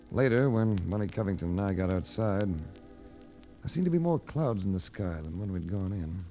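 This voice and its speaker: Low, wry hardboiled radio detective. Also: male voice, warm tone. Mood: somber. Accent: American. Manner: wry, clipped hardboiled delivery. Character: wry, tough male private investigator. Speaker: lead actor and narrator. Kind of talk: 1940s radio detective acting. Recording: vintage 1940s radio recording with music.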